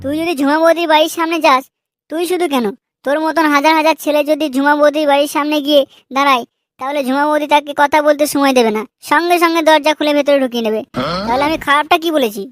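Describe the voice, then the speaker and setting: Performer doing a sharp-tongued cartoon character, childlike very high pitch, rapid, mocking, crude comedy. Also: steady tone, no room sound, clean studio sound, natural voice. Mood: argumentative. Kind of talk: Bengali comedy cartoon dubbing.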